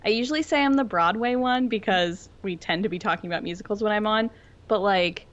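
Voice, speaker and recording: A woman's voice: medium pitch, a little fast, muffled recording. A woman guesting on a podcast, chatting casually and jokily like an enthusiastic fan. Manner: informal, jokey, enthusiastic fan talk. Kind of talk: casual pop-culture chat.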